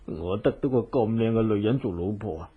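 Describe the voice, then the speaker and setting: A doting, simple-minded fat husband drawls slowly in husky Hong Kong Cantonese. He is fawning, in radio play style, on a muffled lo-fi recording. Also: lowish voice, full character voice. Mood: doting, jolly. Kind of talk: Cantonese radio drama acting.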